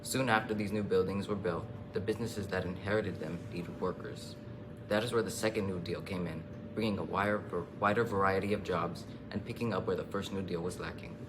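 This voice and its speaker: Deep-voiced man narrating, noisy recording. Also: steady tone, brisk. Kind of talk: scripted news narration. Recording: clearly noisy amateur recording.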